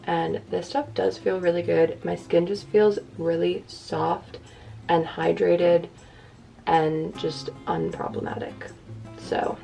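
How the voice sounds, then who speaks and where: A young woman with a raspy voice, rambling casually and self-deprecatingly to the camera as a lifestyle vlogger. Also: lowish voice, a little mellow.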